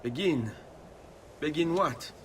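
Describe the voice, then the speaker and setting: A man's voice: a little high, animated, slow, slight background noise. An actor playing a grieving, resolute young Italian nobleman in a video game, speaking with an Italian accent in an earnest, restrained way. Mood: guarded, puzzled. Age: young adult. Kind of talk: video game cutscene acting.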